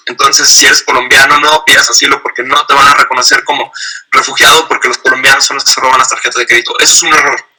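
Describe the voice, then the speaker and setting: A man's voice: mid-range voice, bright, forceful tone, slightly rough, low-quality recording. A man giving an online training lecture on asylum law, explaining step by step and acting out short example dialogues.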